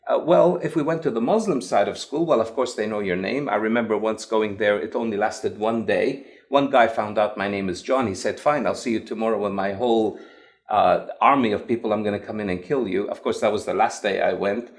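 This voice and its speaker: A man from Lebanon with an English accent, a guest recounting his past in an interview with animated, emphatic delivery. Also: lowish voice, fairly quick. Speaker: guest, evangelist. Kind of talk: interview storytelling.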